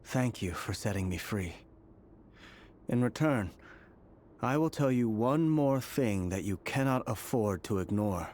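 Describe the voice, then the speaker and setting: An actor playing a grave, mysterious, weary prisoner in a video game, speaking solemnly and deliberately. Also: male voice, fairly low pitch, lively intonation, a little mellow, slight background noise. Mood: solemn, warning. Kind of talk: scripted video game dialogue.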